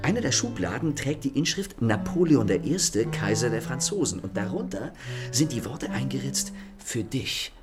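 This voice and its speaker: An actor playing a charming, ironic gentleman thief in a radio play, speaking with smooth, courteous mockery. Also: mid-range voice, sing-song, normal speed, close to an everyday voice. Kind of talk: radio drama acting. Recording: studio radio play with faint music.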